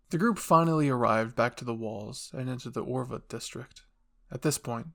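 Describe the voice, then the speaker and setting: A man's voice: mid-range voice, creaky. A man reading scripted story narration as the narrator of a fan fiction roleplay.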